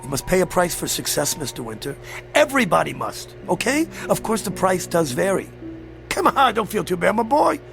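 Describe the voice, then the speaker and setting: Sly devil, creaky and theatrical. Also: male voice, sits high, sing-song, normal speed, fairly bright tone, soft background music, heavily acted. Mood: gloating. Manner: mock-genteel, teasing, smooth-talking, menacing. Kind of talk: radio drama acting.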